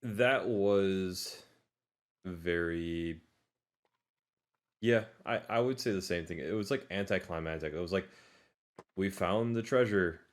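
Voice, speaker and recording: A man co-hosting a podcast, chatting casually in an informal, halting, jokey way. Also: low-pitched. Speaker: podcast co-host. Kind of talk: casual chat about movies.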